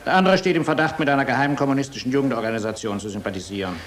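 An actor in a German radio play, playing a police commissioner who is brusque, dismissive and matter-of-fact. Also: male voice, medium pitch, rapid-fire, natural voice. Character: brusque, sceptical police commissioner serving the regime. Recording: slightly noisy radio play recording.

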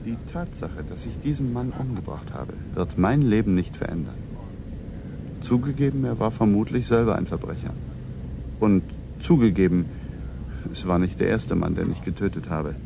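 A composed, cold-blooded man speaks in a gravelly, lowish voice. He is measured and insistent, a firm mentor in a radio drama, over clear rumbling noise. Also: animated. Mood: cool, firm. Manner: measured, insistent, matter-of-fact. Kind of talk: German radio drama acting.

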